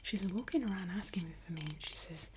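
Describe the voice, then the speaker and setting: A woman whispering a chatty, animated story in ASMR style. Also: fairly low pitch, quick pace. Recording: clear background hiss with clicks.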